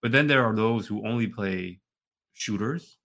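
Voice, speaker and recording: A man co-hosting a podcast in casual conversation, emphatic, often repeating phrases and checking in with a quick "right?". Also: lowish voice, vocal fry, a bit bright. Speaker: podcast co-host.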